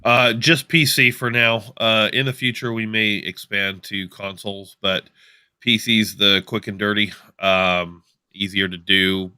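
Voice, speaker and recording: A man's voice: fairly low pitch, steady tone, a little slow, sharp tone, clean tone, no room sound, studio quality. A man on a podcast panel, chatting casually as a game developer. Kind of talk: casual livestream chat.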